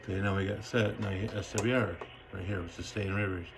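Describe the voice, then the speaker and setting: A man with a gravelly, fairly low voice gives a casual hands-on explanation. He talks in a folksy, rambling, colloquial way, with faint noise behind him. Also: fairly even intonation, quick pace. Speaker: radio hobbyist demonstrating equipment.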